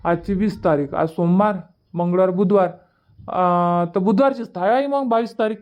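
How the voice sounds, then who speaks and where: Lo-fi recording, man playing an easygoing young cartoon village man, high voice, chatty, rural Vidarbha Marathi accent. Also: moderate pace, close to an everyday voice. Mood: casual, agreeable. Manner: colloquial, chatty. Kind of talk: cartoon comedy dialogue.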